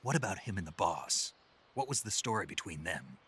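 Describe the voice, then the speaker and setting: An actor playing a gruff, weary middle-aged male soldier in a video game, speaking tersely in a gravelly, husky voice. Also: big pitch swings, fairly quick, a bit bright, a little hiss or hum. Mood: grave, curious. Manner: terse, low-key, questioning.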